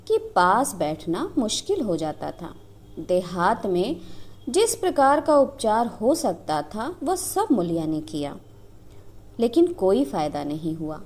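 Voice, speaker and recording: A woman storyteller narrating a scripted story in a dramatic, expressive manner. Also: mid-range voice.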